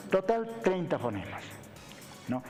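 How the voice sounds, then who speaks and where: A man's voice: high-pitched, very animated, measured pace, darkish tone, faint music behind. Bolivian man lecturing in a reverberant space. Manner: didactic, punctuates with tag questions. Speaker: university lecturer, interview guest. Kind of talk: explanatory television interview talk.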